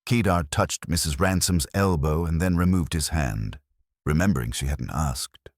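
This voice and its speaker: A man's voice: very deep voice, normal speed, no room sound, clean studio sound. A man reading scripted first-person detective fiction as a narrator. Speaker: audiobook narrator.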